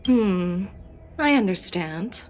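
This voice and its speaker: A woman playing a shrewd, confident military commander in a video game, speaking in a measured, assured way. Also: medium pitch, animated. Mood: probing. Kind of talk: video game story dialogue acting. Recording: music under the voice.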